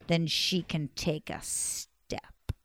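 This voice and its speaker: A woman voicing a scheming old woman who speaks slowly in a low, hushed, menacing way. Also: flat delivery, dry recording, exaggerated voice. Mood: sly.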